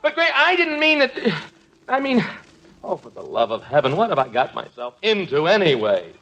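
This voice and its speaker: An actor playing an exasperated, excitable, blustering male friend of the family in a 1950s radio comedy. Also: high voice, moderate pace, heavily acted. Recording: muffled, faintly noisy 1950s radio broadcast.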